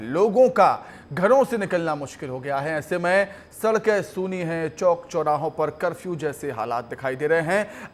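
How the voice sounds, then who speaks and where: High-pitched male Hindi news anchor. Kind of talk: news presenting.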